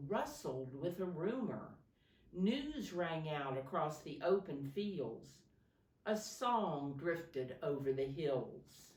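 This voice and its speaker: Slow, low-voiced woman reading to children, echoey room. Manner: expressive, simple explanations and questions addressed to small children. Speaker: preschool teacher reading a picture book aloud. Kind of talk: storytime read-aloud for young children. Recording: roomy, echoey sound, distant from mic.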